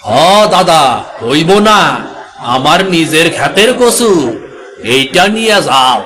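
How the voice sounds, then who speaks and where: Slow, folksy village market man acted by a man. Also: a little high, expressive, bright, forceful tone, heavily acted. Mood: hawking. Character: folksy village market vendors and old uncle. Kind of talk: cartoon voice acting.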